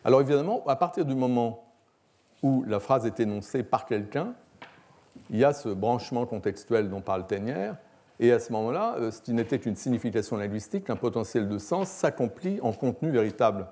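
Male academic lecturer, 60s. Relaxed dark timbre, measured explanatory delivery with hesitations. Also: medium pitch. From France. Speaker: philosophy professor. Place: lecture hall, at a lectern.

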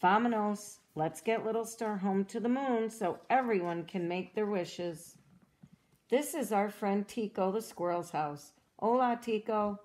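A woman reading a children's storybook aloud as a cheerful young girl explorer, in a gentle, encouraging way that asks the listener questions. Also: mid-range voice, unhurried, lightly acted. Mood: upbeat. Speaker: storybook reader.

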